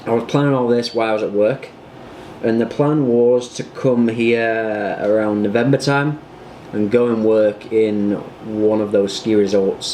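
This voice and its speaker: A man's voice: fairly low pitch, mellow, slight background noise, rough recording. Chatty British man in his twenties. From United Kingdom. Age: 20s. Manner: chatty and rambling, with frequent fillers. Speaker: vlogger. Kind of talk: casual vlog chat.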